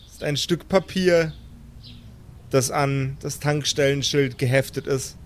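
A man's voice: a little high, slight background noise. A man narrating an improvised audio drama as game master in a dramatic storytelling style.

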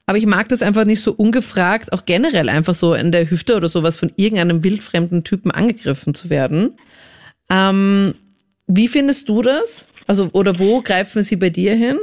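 A woman hosting a casual chat, firing off quick, teasing follow-up questions. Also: mid-range voice, a little mellow. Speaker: host.

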